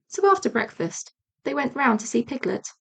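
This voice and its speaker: A woman reading a children's storybook as an audiobook narrator, measured and expressive. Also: a little high, creaky, slightly rough. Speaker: audiobook reader.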